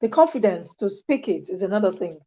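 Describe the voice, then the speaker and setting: A woman's voice: mid-range voice, big pitch swings, fairly quick, dark tone. A woman hosting an interview, speaking in a conversational way and correcting herself as she goes. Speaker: interviewer host. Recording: dull lo-fi sound.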